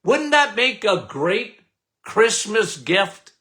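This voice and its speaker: Loud, lively older man host. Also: high-pitched, takes it slowly. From Canada. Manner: loud, emphatic, repeats "okay?" often. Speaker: podcast host. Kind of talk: casual live talk.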